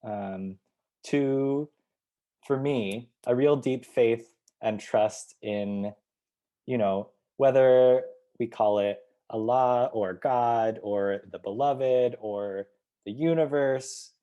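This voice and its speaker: A man's voice: medium pitch, slow, mellow, lo-fi sound. A man from Ohio, a community organizer, giving reflective interview answers and thinking aloud with many fillers and restarts. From United States, Ohio.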